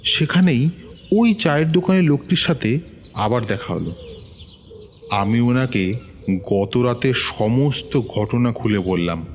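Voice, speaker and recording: A man's voice: medium pitch, lively intonation, slow pace, long pauses, slight background noise. Man narrating a horror tale. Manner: tense, urgent storytelling. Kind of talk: first-person scripted horror narration.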